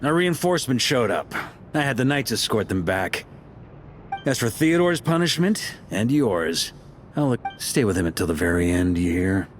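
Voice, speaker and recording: An actor playing a stern, commanding grandmaster of knights in a video game, with firm, grave delivery. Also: male voice, fairly low pitch, sing-song, moderate pace, slight background noise. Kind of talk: dramatic game voice acting.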